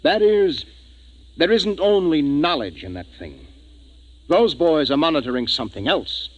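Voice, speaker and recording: A man's voice: a little high, sing-song, unhurried. An actor playing a grave, decisive male spaceship captain who narrates his tale in a 1950s radio drama. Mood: grim. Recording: dull 1950s radio recording, music behind.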